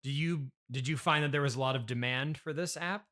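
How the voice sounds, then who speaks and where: Skeptical male interviewer with a bright voice. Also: medium pitch, brisk. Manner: dry, skeptical questioning. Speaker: podcast interviewer. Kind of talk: casual improvised comedy interview.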